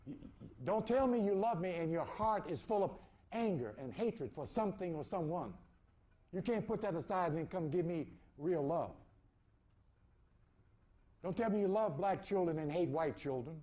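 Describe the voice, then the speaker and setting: A man lectures on spiritual matters in a fairly high, very animated voice. His phrasing is preacherly and deliberate, and the recording is dull and lo-fi. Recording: dull, muffled lo-fi audio.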